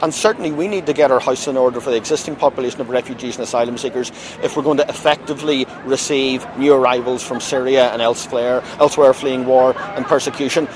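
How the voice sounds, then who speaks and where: A man speaking in a measured, campaigning way in a news interview at a rally. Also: medium pitch, a crowd in the background. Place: outdoors at a city rally. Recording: outdoor traffic noise.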